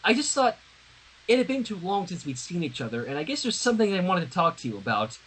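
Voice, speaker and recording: A man's voice: sits high, very animated, fast, a bit bright, a little hiss or hum, poor audio. A man voicing a friendly young princess in human form in a fan fiction reading. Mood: apologetic, casual.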